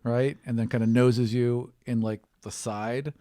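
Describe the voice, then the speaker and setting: A man's voice: medium pitch, little pitch movement, unhurried, mellow, no room sound, clean studio sound. A man running a tabletop roleplaying game as game master, narrating casually and encouraging the players.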